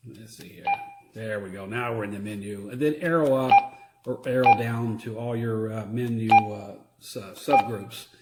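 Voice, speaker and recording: A man giving a casual, unscripted product demonstration in a halting, plain-spoken way. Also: lowish voice, very noisy. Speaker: product reviewer; church security team member. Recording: roomy echo.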